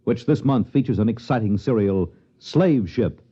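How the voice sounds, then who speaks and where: A man's voice: lowish voice, animated, normal speed, mellow, breathy edge. A man with an American accent announcing in a 1950s broadcast style. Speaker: radio announcer. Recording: dull vintage radio recording.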